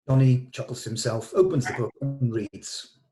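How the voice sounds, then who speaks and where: A man's voice: mid-range voice, lively intonation, moderate pace, warm tone, faint music behind. A man narrating stage directions from a script at a table read.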